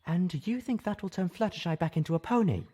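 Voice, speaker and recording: A man's voice: sits high, expressive, a little fast, mellow, slightly rough, pitched above the performer's own voice. A man voicing an elegant unicorn mare in an audiobook, eager and hopeful.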